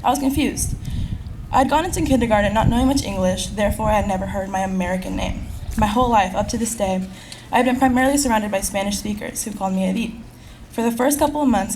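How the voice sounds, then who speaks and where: A teenage girl from California reads her senior speech with an earnest, steady conviction. She moves through it at a brisk clip. A faint hum of room noise sits behind her voice. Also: medium pitch, poor audio. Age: teens. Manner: earnest, reading from a script at a quick clip. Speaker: graduating high school senior giving a speech. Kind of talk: prepared speech. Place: school event.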